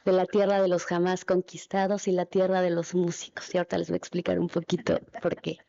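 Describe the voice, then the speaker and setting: A woman's voice: lowish voice, completely flat delivery, fast, vocal fry, dark tone. A woman legislator whose first language is Mixe, giving a formal, light-hearted greeting at a meeting. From Oaxaca, Mexico. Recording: dull lo-fi event audio.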